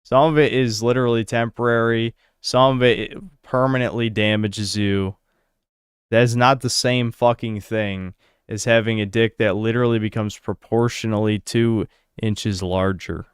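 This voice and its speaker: This is a man in casual livestream chat, reading out donations and answering them bluntly and crudely. His voice sits on the low side and sounds smooth and resonant. The sound is dry and close, with no room echo. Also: steady tone, moderate pace.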